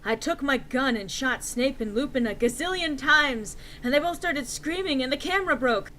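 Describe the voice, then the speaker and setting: A woman's voice: high-pitched, expressive, normal speed, fairly bright tone, a little hiss or hum. A woman narrating a story aloud in a theatrical, emphatic, comic dramatic-reading style.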